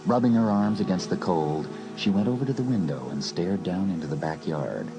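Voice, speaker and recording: Deep-voiced male horror narrator. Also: fairly quick, music in the background, poor audio. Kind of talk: dramatised horror audiobook narration.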